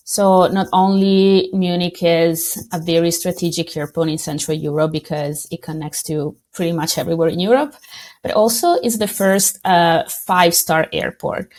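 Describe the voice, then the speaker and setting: A woman with a slightly low voice and talks through a relaxed interview. She hesitates, restarts and laughs lightly as she explains. The delivery is conversational. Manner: hesitant with fillers, laughs often. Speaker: tourism board representative.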